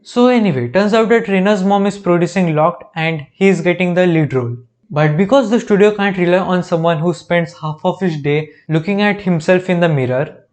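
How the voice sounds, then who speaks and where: A young man with an Indian accent, recapping a TV show with sarcastic, jokey asides. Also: high-pitched. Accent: Indian English. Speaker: reaction video host. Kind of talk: comedic recap narration.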